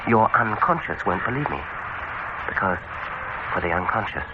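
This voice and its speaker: An actor playing a suave, controlling dentist in a radio horror drama, speaking in a deliberate, coaxing, insinuating way. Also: male voice, sits low, lively intonation. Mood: menacing, hypnotic. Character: suave, sinister male dentist, smoothly persuasive and controlling. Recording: noisy, muffled old radio broadcast recording.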